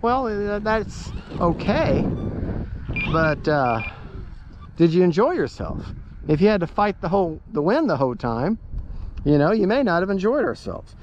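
A man's voice: high voice, sing-song, a little slow. A man giving casual, rambling, folksy running commentary as he flies a model plane outdoors, repeating himself as he goes. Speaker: RC plane pilot and vlogger. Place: outdoors at an open model airfield. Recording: muffled outdoor recording, loud wind noise.

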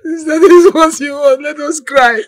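A woman's voice: high voice, normal speed, no room sound, close to an everyday voice. An actress playing a middle-aged mother with a Nigerian accent who scolds and then soothes her heartbroken daughter with pleading repetitions. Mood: scolding. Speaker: mother of the heroine. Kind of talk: film drama acting.

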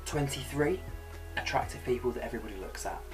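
A man's voice: mid-range voice, quick pace. A young man hosting a comedic numbered list to camera in a small bedroom. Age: young adult. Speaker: video host. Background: music.

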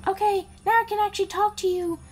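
A woman's voice: very high-pitched, steady tone, fast, a little mellow, faint music behind, voice pushed up. A woman voicing a sweet, chatty, ditzy young video game princess in a girlish, rambling voice. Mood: flustered, apologetic.

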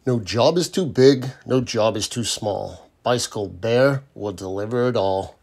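A man reading a children's picture book aloud in an expressive storytelling style. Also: fairly low pitch, measured pace, vocal fry, husky.

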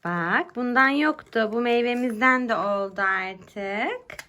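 A woman's voice: fairly high pitch, slow pace, pushed and bright. A woman chatting casually and playfully with her small son as his mother, coaxing and encouraging him with simple questions. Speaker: mother playing pretend with her young son.

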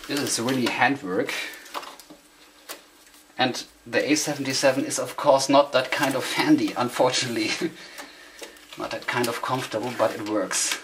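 A man's voice: mid-range voice, normal speed, long pauses. A man with a German accent, a native German speaker, giving a casual hands-on demonstration in hesitant, self-conscious English, searching for words. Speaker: hobbyist demonstrator. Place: indoor room, beside tape machines. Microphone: camera microphone. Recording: noisy amateur camera sound with clicks.

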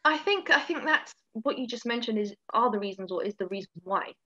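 A woman's voice: fairly high pitch, lively intonation, fairly quick, pushed and bright, dry recording. A woman co-hosting a podcast, talking frankly and chattily with lots of fillers and easy laughs. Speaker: podcast co-host. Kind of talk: casual podcast chat. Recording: dull, dry, lo-fi sound.

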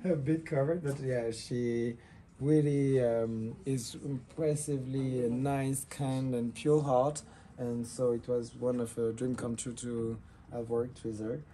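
A young man with a French accent speaks in a soft, mellow tone, giving a casual showroom walk-through. His English is hesitant yet enthusiastic, and faint room noise sits behind him. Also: mid-range voice, level tone, takes it slowly, rough recording. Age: young adult. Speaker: fashion designer presenting a collection.

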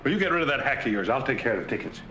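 An actor playing a wealthy, lonely middle-aged man in a 1940s film, polite, gentle and well-spoken. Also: medium pitch, expressive, very fast. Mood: earnest. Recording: hissy 1940s film soundtrack.

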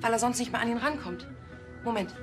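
An actress playing a tactful, quick-witted policewoman in a TV drama. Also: a little high, over music, lightly acted.

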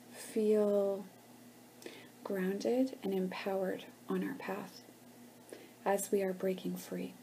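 Woman, meditation leader, even unhurried delivery, hushed and soothing, gentle pauses. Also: medium pitch, gravelly, slight background noise, low-quality recording.

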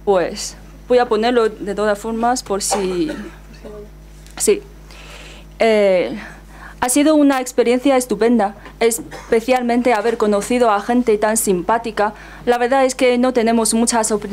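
Young woman reading her notes slowly at a panel, higher voice, lots of little pauses. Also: darkish tone. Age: young adult. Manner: hesitant, careful reading with many fillers. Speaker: panel speaker. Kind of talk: reading a prepared talk. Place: university auditorium panel. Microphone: desk microphone.

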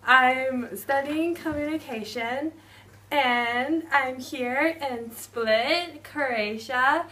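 A young woman introducing herself to a camera in a small office, with many fillers and pauses. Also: high voice, sharp tone. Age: young adult. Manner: hesitant, filler-heavy. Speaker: team member. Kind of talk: casual self-introduction. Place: small office with green walls. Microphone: far camera. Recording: distant camera, faint hiss.

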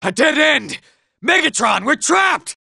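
A jittery robot grunt from a video game, high and gravelly, with a sharp, bright edge. He yelps warnings in a wide, bouncing pitch and slips into sarcastic asides between them. The booth is bone dry. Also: sing-song, slow pace, no echo, exaggerated voice. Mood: panicked. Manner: panicky shouting, sarcastic asides. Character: jittery male robot soldier, nervous and boastful.

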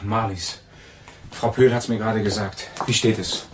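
An actor playing a loyal, reassuring family friend in a German radio crime drama. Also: male voice, on the low side, steady tone, normal speed, noisy background, close to an everyday voice. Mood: concerned.

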